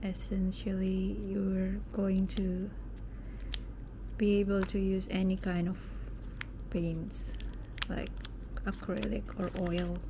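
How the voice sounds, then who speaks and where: Young indonesian woman, slight british tinge, talks slow and kinda monotone, lots of hiss behind her. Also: mid-range voice. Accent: Indonesian, with some British English. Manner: chatty, rambling, self-deprecating, sometimes drops to a whisper. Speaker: vlogger. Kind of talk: casual unboxing chat. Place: home at night.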